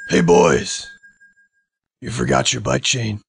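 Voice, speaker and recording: A man playing a gruff, creepy small-town butcher in an audio drama, with a gravelly voice and slow, deliberate delivery. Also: mid-range voice, slight background noise. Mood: ominous. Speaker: voice actor. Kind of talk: amateur audio drama acting.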